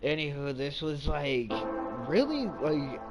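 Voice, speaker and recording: Guy livestreaming a game, slow rambly casual talk, repeats stuff, music really loud behind him. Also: fairly high pitch, very animated. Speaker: game streamer. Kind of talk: casual live-stream commentary.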